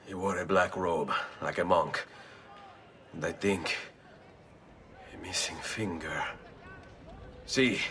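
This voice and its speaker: An actor playing a bold young Italian assassin, speaking with an Italian accent in a steady, determined way. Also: male voice, sits low, slow, pauses often, recorded from a distance, slight background noise. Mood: resolute. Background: orchestral score.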